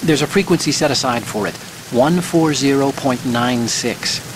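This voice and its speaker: A brainy young American hacker acted by a man acting as mission support in a video game. His voice is medium in pitch, his delivery earnest, precise and slightly fussy. Heavy rain and engine noise sit behind him. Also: moderate pace, close to an everyday voice. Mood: earnest, reassuring.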